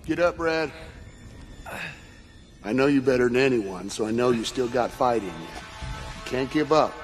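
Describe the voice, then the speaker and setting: A man playing an encouraging older brother in a war video game. His mid-pitched voice is earnest, insistent and tender over a music bed. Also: animated, unhurried, close to an everyday voice. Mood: urging. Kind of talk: dramatic video game cutscene acting.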